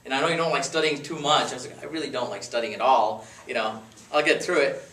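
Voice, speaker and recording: A man's voice: mid-range voice, fast talker, fairly bright tone. A man in his thirties, from India and a native Gujarati speaker, giving a casual, joking talk about himself to friends after dinner. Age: 30s. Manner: chatty, rambling, joking. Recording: echoey room, mic far off.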